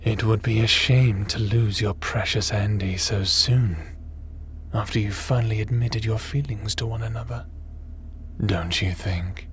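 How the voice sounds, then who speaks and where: A man's voice: on the low side, fairly even intonation, fairly bright tone, a little hiss or hum. A man playing a smug, scheming, power-hungry villain in a sci-fi audio drama, with a taunting, gloating manner. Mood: smug, menacing. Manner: slow, taunting, gloating delivery. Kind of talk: sci-fi audio roleplay acting.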